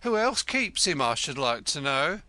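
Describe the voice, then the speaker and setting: Man doing a shrill scolding landlady. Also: very animated, a little slow, no room sound, exaggerated voice. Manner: sharp-tongued, shrill, scolding.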